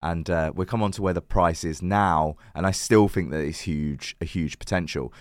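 A man with a croaky, worn voice hosting his own channel, giving chatty crypto commentary with lots of fillers and self-corrections. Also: low voice, moderate pace, no echo, studio quality. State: croaky, recovering lost voice. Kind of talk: casual to-camera crypto commentary.

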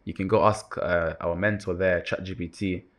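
Young man, lowish voice, relaxed casual chat, fillers and repeats. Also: moderate pace. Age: young adult. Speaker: co-host.

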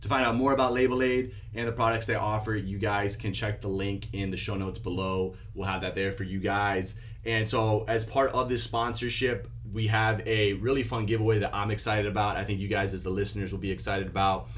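A man's voice: fairly low pitch, little pitch movement, a little fast, fairly bright tone. A man hosting a podcast, reading a sponsor spot and then interviewing a guest in an upbeat, enthusiastic way. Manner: upbeat, enthusiastic, short backchannels. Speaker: podcast host.

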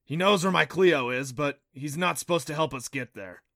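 A man's voice: fairly high pitch, normal speed, vocal fry, a bit bright, no echo, studio quality. A man voicing a proud, impatient magical horned beast, explaining things emphatically in an audiobook. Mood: grave, urgent. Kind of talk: audiobook character voice.

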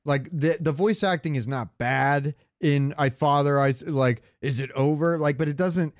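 A man's voice: on the high side, normal speed, dry recording, studio quality. A man hosting a casual gaming podcast, talking in an opinionated, rambling way with plenty of swearing. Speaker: podcast host.

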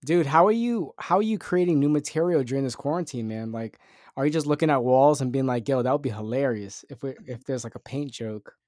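A man's voice: mid-range voice, brisk, a little mellow, no room sound, clean studio sound. A young man hosting a podcast in loose, rambling casual chat, full of fillers. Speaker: podcast host.

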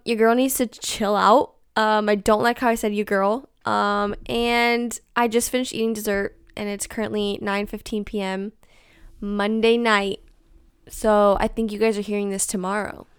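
Chatty young woman, crisp and dry. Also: medium pitch, moderate pace, no echo. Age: young adult. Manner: chatty, animated and exasperated, many likes and ums. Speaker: podcast host. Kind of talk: casual solo podcast chat.

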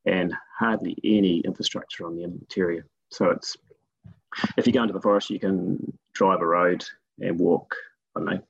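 A man with a New Zealand accent, a guest telling stories about his work in a relaxed, rambling way with many fillers. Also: low voice, moderate pace, vocal fry, breathy edge, dry recording, highs cut off. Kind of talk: casual interview talk.

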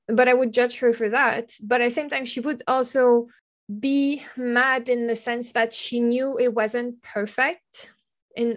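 Woman, higher voice, thinking out loud, restarts a lot. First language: French. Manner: reflective, searching for words. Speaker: secondary school teacher, guest. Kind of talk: conversational podcast interview.

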